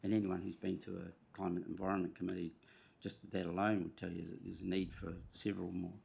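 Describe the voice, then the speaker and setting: A man's voice: deep voice, little pitch movement, quick pace, vocal fry, a little mellow, breathy edge. A man speaking as a committee member, thoughtful and searching for words. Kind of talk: council meeting discussion.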